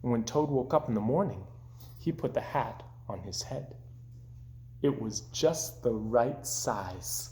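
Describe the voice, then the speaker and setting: A man reading a children's story aloud as narrator, expressive, gentle and deliberate. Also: mid-range voice, slight background noise. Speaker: storybook reader.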